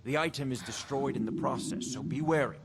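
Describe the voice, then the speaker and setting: An actor playing a haughty, condescending court wizard in a fantasy video game, speaking in a formal, lecturing way. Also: male voice, medium pitch, a little fast, very noisy, lightly acted. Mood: instructive. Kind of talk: scripted video game dialogue.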